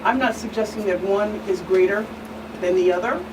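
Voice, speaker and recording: A woman guest expert explains earnestly in a panel discussion, with a mid-range voice. She is deliberate and emphatic, repeating phrases for weight. She sounds distant from the microphone, with clear background noise. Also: mid-range voice. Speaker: guest expert, attorney and pastor. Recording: distant mic, clearly noisy room hum.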